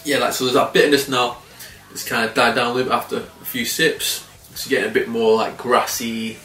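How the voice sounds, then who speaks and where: A man in his thirties casually reviewing a beer to camera, relaxed and swearing freely with frequent chuckles. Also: mid-range voice, fairly quick. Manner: laid-back, swears casually, chuckles often. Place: small indoor room by window blinds. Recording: roomy and slightly distant.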